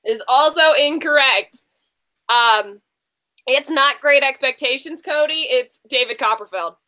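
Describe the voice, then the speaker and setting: A woman hosting a casual book quiz, chatting in an animated, teasing way. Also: sits high, unhurried, poor audio. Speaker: quiz show host.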